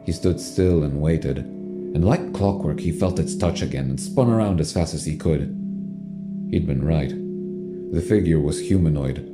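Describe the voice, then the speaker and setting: A man narrating an audio drama in a scripted storytelling style. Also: deep voice. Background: music.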